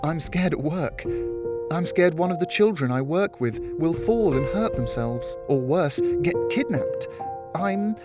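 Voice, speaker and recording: A man reading a story, voicing a caring adult woman who talks softly and patiently. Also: on the high side, expressive, unhurried, loud music behind the voice, voice pushed up. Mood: soft reassuring. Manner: soft, patient, comforting. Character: gentle caring adult woman, calm and reassuring.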